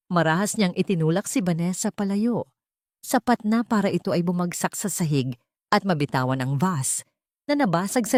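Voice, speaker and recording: A woman's voice: fairly low pitch, darkish tone, no room sound, studio quality. A woman narrating a scripted story in a dramatic, emotive and solemn way.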